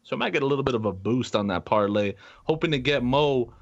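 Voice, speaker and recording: A man talks casually as a sports podcast co-host. His voice sits at a middle pitch, and the audio is slightly dull and lo-fi. The delivery is conversational betting chat. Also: lively intonation, quick pace.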